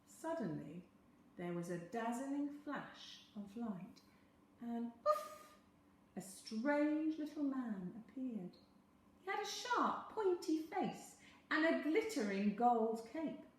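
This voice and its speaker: Animated woman storyteller, very echoey room. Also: on the high side, slow. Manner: dramatic, animated. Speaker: storyteller, teacher. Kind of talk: expressive children's story reading.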